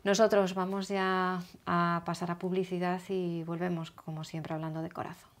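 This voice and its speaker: A woman with a slightly low voice hosts a casual celebrity gossip segment in a studio. She is chatty and laughs easily. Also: flat delivery, normal speed. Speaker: host. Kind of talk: casual chat on a gossip show.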